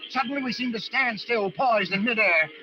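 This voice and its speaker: An actor playing a desperate lighthouse keeper narrating a storm ordeal in an intense, breathless old-time radio drama style. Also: male voice, extremely high pitch, moderate pace, background noise. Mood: tense, urgent. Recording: muffled old radio recording with dramatic music.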